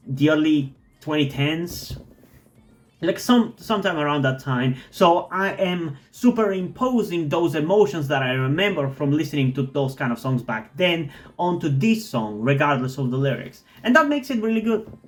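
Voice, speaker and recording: A non-native English-speaking man giving a thoughtful, rambling reaction to a song, full of fillers and restarts. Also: fairly high pitch. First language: not English. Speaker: music reactor. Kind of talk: casual music reaction commentary.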